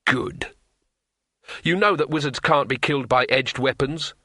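Man acting a frantic, bright-voiced cowardly wizard. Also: fairly high pitch, moderate pace, no echo, clean studio sound. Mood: desperate bluff. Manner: frantic, exasperated. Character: desperate, cowardly, sardonic male wizard.

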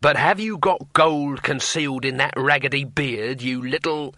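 A man's voice: medium pitch, normal speed, bright, forceful tone, no room sound, clean studio sound. A man voicing a cold, hateful thief and swordsman in a storybook reading, with a sneering, hissing menace. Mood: hissing contempt.

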